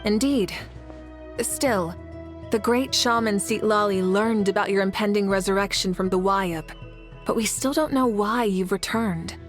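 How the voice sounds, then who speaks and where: A woman's voice: mid-range voice, faint music behind, close to an everyday voice. Dignified warrior queen, voiced by a woman, solemn. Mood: composed, inquiring. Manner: formal, measured, solemn. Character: dignified, resolute warrior queen who leads a nation. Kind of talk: scripted video game dialogue.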